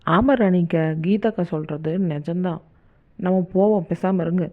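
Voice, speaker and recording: A woman's voice: fairly low pitch, moderate pace, close to an everyday voice. A woman voicing a cheery, coaxing cartoon village woman with a colloquial Tamil Nadu accent. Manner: colloquial, chummy endearments. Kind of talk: cartoon comedy skit dialogue. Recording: tinny phone-like narrow band.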